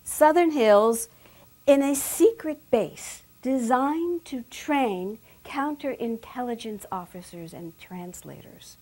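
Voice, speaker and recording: A woman with a soft voice telling a personal family story, hushed and lingering, with sharp emphasis on key words. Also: on the high side, expressive, slow pace. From Bermuda.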